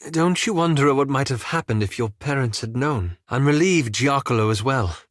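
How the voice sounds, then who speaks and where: A man playing a courteous, reserved elven wizard in a fantasy game, with formal, articulate and measured delivery. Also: medium pitch, animated, dry recording, studio quality, lightly acted. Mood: serious, skeptical. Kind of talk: scripted fantasy game dialogue.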